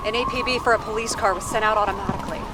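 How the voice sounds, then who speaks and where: An actress playing a brisk, matter-of-fact female police liaison guiding a detective in a video game. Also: mid-range voice, steady tone, natural voice. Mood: concerned, focused. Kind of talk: cinematic video game acting. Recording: loud city traffic and engine noise.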